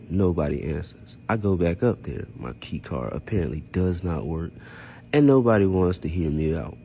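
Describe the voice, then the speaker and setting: A man's voice: deep voice, mellow, a little hiss or hum. A man telling a casual first-person story in an aggrieved, emphatic manner, throwing out rhetorical questions and acting out exchanges. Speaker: storyteller recounting own experience.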